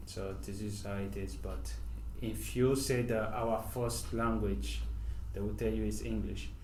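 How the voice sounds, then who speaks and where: Slow-talking Nigerian man in an echoey room. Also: lowish voice, steady tone. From northern Nigeria. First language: Hausa. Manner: unhurried, halting, with sentences that trail off softly. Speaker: podcast guest, professional footballer. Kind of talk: casual interview conversation. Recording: echoey room, faint background noise.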